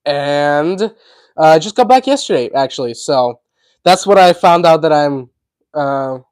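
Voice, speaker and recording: Male streamer, sick voice: congested and hoarse, chatty and rambling, dry close sound. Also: fairly high pitch, animated, unhurried, a little mellow, no room sound. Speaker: livestream host. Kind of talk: casual livestream chat.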